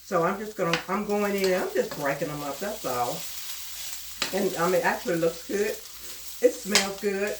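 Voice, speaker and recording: A woman's voice: medium pitch, moderate pace, noisy background. A middle-aged woman, chatting homily to viewers in a cooking vlog, her voice stuffed up and nasal from bronchitis. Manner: chatty, homey, talks to viewers as y'all. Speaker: cooking channel host. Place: home kitchen at the stove. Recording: roomy kitchen with loud sizzling.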